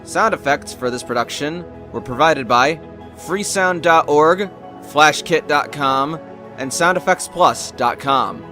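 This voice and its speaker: A man's voice: fairly high pitch, a little slow, bright, forceful tone, faint music behind. A man reading scripted, dramatic story narration.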